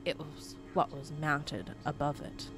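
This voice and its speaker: A woman narrating a horror story in a dramatic, suspenseful manner, with deliberate pauses. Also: sits low, little pitch movement. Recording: loud music under the voice. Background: prominent background music.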